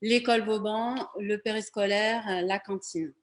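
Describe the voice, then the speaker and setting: A woman's voice: medium pitch, fairly even intonation, measured pace. A woman candidate delivering a prepared speech for a local public meeting. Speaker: municipal election candidate.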